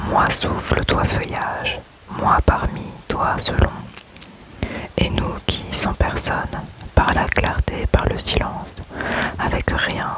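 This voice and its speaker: A man softly whispering love poems aloud in a close-up ASMR reading, unhurried and gentle. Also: rough recording. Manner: slow, soft unvoiced whisper throughout. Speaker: ASMR creator.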